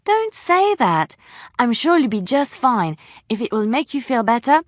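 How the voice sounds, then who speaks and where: Young bard spy lady for a fantasy game, french accent, measured and earnest, very dry close recording. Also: fairly high pitch, sing-song, dry recording. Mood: playful, teasing. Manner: measured, earnest, formal phrasing. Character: young female bard and former spy, soft-hearted but cunning. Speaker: video game voice actor. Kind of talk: dramatic game dialogue.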